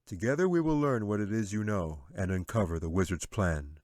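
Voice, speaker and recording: Man playing a deep, solemn guardian angel. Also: darkish tone, no echo, clean studio sound, natural voice. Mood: reassuring. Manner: measured, formal, reassuring. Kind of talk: fantasy audiobook character acting.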